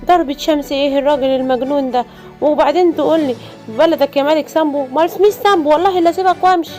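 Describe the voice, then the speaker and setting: A high, rapid young lion cub played by a woman speaks in Egyptian Arabic. The delivery is childlike and exclamatory, as in a children's cartoon story, with music underneath. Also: a little mellow. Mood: alarmed, indignant. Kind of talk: animated children's story, acting all characters.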